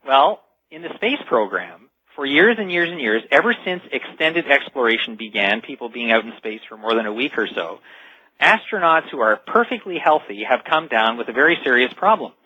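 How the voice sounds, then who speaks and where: A man's voice: mid-range voice, very animated, normal speed, bright, forceful tone, slightly rough, no echo. A man speaking as a guest expert in a radio interview, explaining in an emphatic storytelling way with rhetorical build-ups, over dull lo-fi sound.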